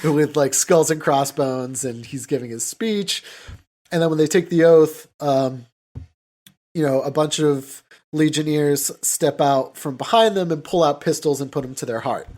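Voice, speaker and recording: A man's voice: on the high side, normal speed, darkish tone. Casual, rambling male podcast host. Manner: hesitant, rambling, often saying "you know". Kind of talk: casual film discussion.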